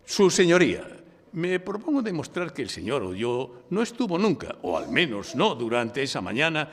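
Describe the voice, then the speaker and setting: A man's voice: fairly high pitch, huge pitch swings, moderate pace. An actor with an accent from Spain playing an oratorical male defence lawyer who argues and narrates his case in a courtroom radio drama. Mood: insistent, confident. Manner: oratorical, insistent, declamatory. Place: public library, before a live audience. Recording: reverberant hall, live stage recording.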